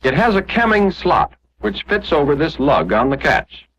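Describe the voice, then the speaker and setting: A man's voice: fairly high pitch, sing-song, moderate pace, a bit bright, slightly rough. A man narrating a 1940s instructional film, explaining step by step in a scripted, didactic way. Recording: muffled, dull 1945 film soundtrack.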